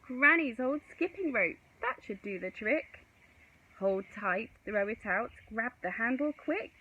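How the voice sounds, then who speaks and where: A woman voicing a brisk, unflappable boy hero in a children's storybook, chanting his instructions in a commanding way. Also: a little high, animated, breathy edge, narrow, tinny audio, voice pushed up. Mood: confident.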